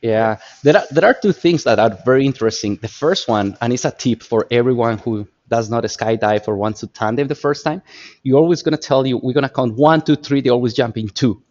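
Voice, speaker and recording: A man in his thirties from Colombia with a Colombian Spanish accent, a podcast guest telling stories in lively, repetitive phrasing. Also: mid-range voice, brisk, highs cut off. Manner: animated storyteller, repeats words while searching for phrasing. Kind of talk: casual interview talk.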